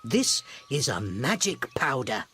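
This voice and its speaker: A woman voicing a wily, secretive old father-in-law in a storybook tale, speaking emphatically with dramatic pauses. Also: very deep voice, big pitch swings, a bit bright, slight background noise, pitched below the performer's own voice, heavily acted. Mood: hushed, instructive.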